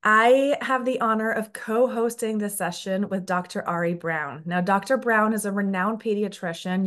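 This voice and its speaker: Dutch-born woman hosting a webinar. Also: mid-range voice. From Netherlands. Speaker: webinar host, company scientist. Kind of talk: scripted webinar introduction. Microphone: video call.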